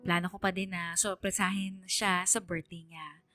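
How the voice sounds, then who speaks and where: A woman's voice: lowish voice, flat delivery, sharp tone, faint music behind. A woman playing a devoted teenage daughter, narrating her story as a heartfelt first-person letter in a radio drama. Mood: happy, hopeful. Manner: heartfelt, earnest, dramatic pauses.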